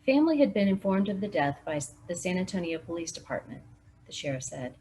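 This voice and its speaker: A woman reading a mystery novel aloud as a volunteer, narrating in a steady first-person voice. Her pitch sits on the low side, and faint noise hums underneath. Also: fast, low-quality recording. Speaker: volunteer reader.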